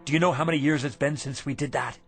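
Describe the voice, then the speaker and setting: A man playing an excitable, generous husband who runs a poor antique shop, in a radio drama. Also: on the high side, fast, vocal fry, slightly rough, faint music behind. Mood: eager, coaxing.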